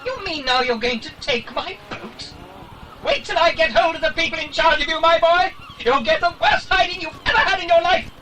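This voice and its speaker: A man's voice: very high voice, normal speed, background noise. A man playing a pompous, flustered and indignant silly fellow in a children's audio drama, blustering and stammering.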